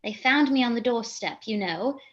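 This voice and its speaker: A woman's voice: a little high, lively intonation, normal speed, a bit bright, muffled recording. An actress playing a flighty, self-important young lady in a theatrical comedy, arch and declamatory. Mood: arch, confiding. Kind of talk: theatrical radio comedy monologue and dialogue.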